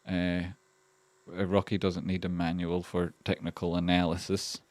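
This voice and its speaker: Scottish male stream host, lowish voice, dry close sound, rambling and sweary casual chat. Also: flat delivery, normal speed, a little mellow, no room sound. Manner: rambling and chatty, many fillers and restarts, swears freely. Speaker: livestream host. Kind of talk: casual livestream chat reading donations.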